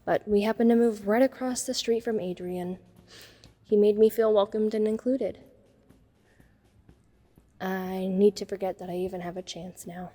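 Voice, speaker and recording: A woman's voice: medium pitch, steady tone, normal speed, pauses often, warm tone, long reverb tail, close to an everyday voice. A young woman playing an introspective, self-conscious teenage girl who narrates her own story in an audio drama. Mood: wry, wistful. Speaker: narrator and lead character. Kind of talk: first-person dramatic narration.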